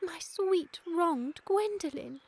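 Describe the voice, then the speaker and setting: A sweet but prim young Victorian lady, easily indignant. Her voice is very high, with theatrical, careful diction suited to a radio comedy. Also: animated, slow pace, slight background noise, exaggerated voice. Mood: wounded, dramatic. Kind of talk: scripted radio comedy acting.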